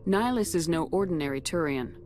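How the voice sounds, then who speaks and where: Female actor as authoritative space marine commander, low register, businesslike video game read, light score underneath. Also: expressive, fast talker, darkish tone, faint music behind, close to an everyday voice. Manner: firm, authoritative.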